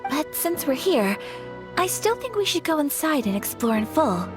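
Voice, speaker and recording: A woman's voice: high voice, very animated, normal speed. A gracious, polite young noblewoman. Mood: thoughtful. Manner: soft-spoken, formal and courteous. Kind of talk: video game voice acting. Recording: game audio with music behind.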